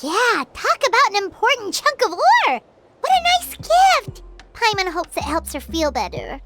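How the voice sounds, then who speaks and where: A woman's voice: very high-pitched, wildly swooping pitch, a little slow, very noisy, heavily acted. A woman voicing a small, cheerful, childlike fairy companion in a video game, with a perky manner. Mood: bubbly, eager. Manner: perky, refers to self in third person. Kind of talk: video game character acting. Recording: music playing behind.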